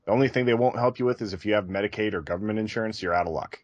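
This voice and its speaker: A man's voice: lowish voice, racing, no room sound, highs cut off. A man co-hosting a podcast, talking casually and candidly in a conversational, self-deprecating way. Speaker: podcast co-host.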